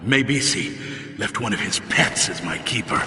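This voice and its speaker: A man acting a dying old warrior uncle in a game cutscene, weary and solemn, halting and straining for breath. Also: on the low side, expressive. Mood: grave urgency. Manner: halting, urgent, grave. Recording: echoey reverberant hall, distant, music behind.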